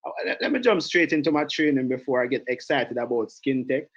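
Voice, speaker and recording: A man's voice: mid-range voice, lively intonation, fairly quick, dull audio. A man from Jamaica with a Jamaican accent, leading a chatty sales training on an online call, often repeating himself and drifting off topic. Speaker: sales team leader giving a training.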